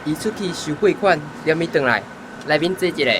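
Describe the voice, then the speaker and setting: A man's voice: fairly high pitch, brisk, noisy background, natural voice. A Taiwanese man playing a polite, easily flustered teenage apprentice painter in a radio drama.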